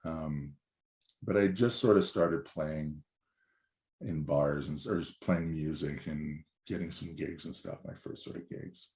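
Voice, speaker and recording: A man in his forties, a musician guest with a raspy, hoarse voice, talking in a loose, rambling way that is sprinkled with swearing. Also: rumbling low, measured pace, a little mellow. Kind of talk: casual chat.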